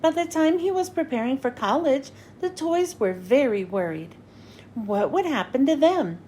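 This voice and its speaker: A woman narrating a children's storybook as a read-aloud host, with expressive, clearly phrased delivery. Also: sits high, normal speed, slight background noise.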